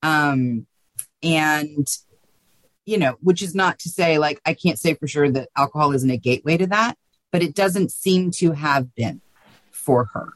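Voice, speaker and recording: A woman giving thoughtful interview answers as a guest, in a measured, careful manner. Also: low voice, no echo, low-quality recording. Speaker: podcast guest, writer.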